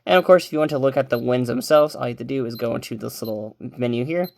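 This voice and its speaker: A man's voice: medium pitch, quick pace, gravelly, a little mellow. A man hosting a casual video, talking loosely and chattily with fillers. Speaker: host.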